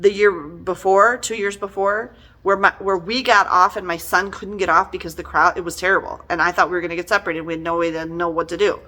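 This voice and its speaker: A middle-aged woman giving a casual, conversational book review in an animated, exasperated and emphatic manner. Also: on the low side, brisk, low-quality recording.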